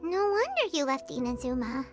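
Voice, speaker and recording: A woman voicing a tiny, childlike fairy companion in a video game, squeaky, perky and earnest. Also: sing-song, normal speed, music in the background. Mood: amazed, curious. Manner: perky, refers to herself in third person. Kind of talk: video game voice acting, cartoonish.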